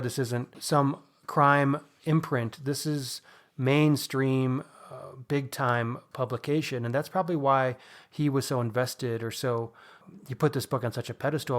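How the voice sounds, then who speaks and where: A man's voice: mid-range voice, a bit bright. A man co-hosting a podcast, talking through books in a casual, conversational style. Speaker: podcast co-host discussing books. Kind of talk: casual book discussion.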